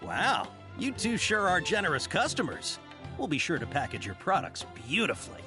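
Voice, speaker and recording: An apologetic, servile shop informant talks in a highish voice that swings very widely in pitch. He stays polite and fawning throughout. Game music plays clearly behind. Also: wildly swooping pitch, normal speed. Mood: courteous. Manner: overly courteous, apologetic. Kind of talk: game cutscene voice acting.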